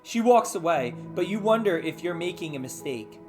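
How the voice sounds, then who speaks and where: A man with a fairly high voice reads a story aloud as host. He is informal and drops chatty asides to his listeners. Faint music plays behind him. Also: animated, moderate pace. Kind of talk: casual read-aloud of an interactive book.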